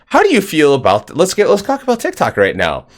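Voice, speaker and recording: A man's voice: fairly high pitch, huge pitch swings, quick pace. A man hosting a casual interview podcast, talking in a loose, rambling way with lots of fillers. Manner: rambling, filler-heavy, upbeat. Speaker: podcast host.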